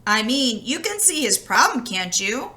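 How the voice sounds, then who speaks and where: Bright, high-voiced woman reading a storybook. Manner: expressive, conversational, pausing to comment. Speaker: storybook reader. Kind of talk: read-aloud storytelling with chatty asides to listeners.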